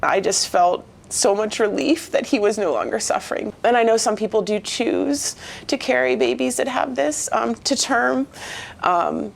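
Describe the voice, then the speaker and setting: A woman's voice: fairly high pitch, moderate pace, gravelly. A woman in her forties from Maine with an American accent, giving a reflective, earnest personal account in an interview. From Maine, United States. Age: 40s.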